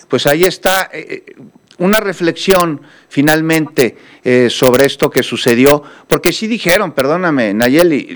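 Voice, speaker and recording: A man hosting a news interview, pressing his guest with pointed questions and adding critical commentary. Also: on the high side.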